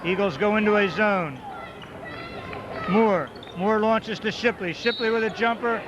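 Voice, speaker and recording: A man calling live high school basketball play-by-play in quick, clipped calls, rooting for the home team, with a loud voice. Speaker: play-by-play announcer. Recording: muffled 1990s local broadcast tape, loud gym crowd. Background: crowd noise, ball bounces.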